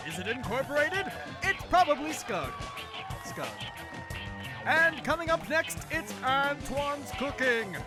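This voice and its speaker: A cartoon radio announcer in an extremely high voice. The delivery is slow and presentational, like a parody continuity spot, with music playing clearly underneath. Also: male voice, very animated, full character voice. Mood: deliberate.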